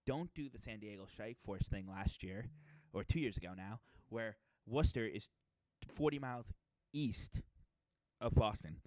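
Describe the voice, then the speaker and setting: Low-voiced man from Massachusetts, dry sound. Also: lively intonation, darkish tone, no room sound. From Massachusetts, USA. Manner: rambling, self-deprecating, quick asides. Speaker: podcast co-host. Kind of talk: casual chat.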